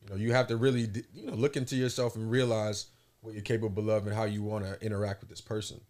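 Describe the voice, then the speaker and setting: A man speaking as a guest in a casual conversation, in an earnest, reflective, measured way. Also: lowish voice, level tone, a little mellow. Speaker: podcast guest.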